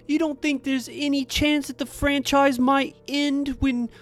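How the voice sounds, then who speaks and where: A man's voice: extremely high pitch, flat delivery, unhurried, faint music behind, pitched above the performer's own voice. A man voicing an eager, naive young male movie star in a pleading, wide-eyed way for a comic story. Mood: anxious pleading.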